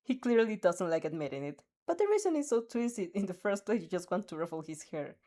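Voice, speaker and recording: A woman's voice: medium pitch, sing-song, a little fast, warm tone. A woman reading fan fiction aloud as an amateur narrator, giggly, stumbling over words and adding her own asides.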